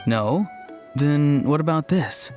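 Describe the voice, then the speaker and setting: A man's voice: fairly high pitch, expressive, takes it slowly, music in the background. A man playing a friendly, hesitant tinkerer in a video game, musing as he talks. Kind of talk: video game character acting.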